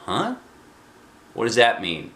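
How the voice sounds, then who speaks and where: A man voicing an excitable, impatient grade-school boy who exclaims skeptically in a children's storybook. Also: mid-range voice, slow pace, reverberant, full character voice. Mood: puzzled, impatient.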